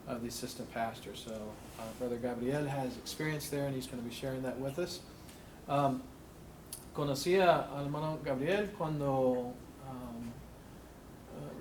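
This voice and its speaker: A man's voice: mid-range voice, darkish tone, a little hiss or hum, poor audio. A man hosting a church workshop who introduces the speaker and then interprets him phrase by phrase, often restarting phrases. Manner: halting, restarts phrases while translating. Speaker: host and interpreter.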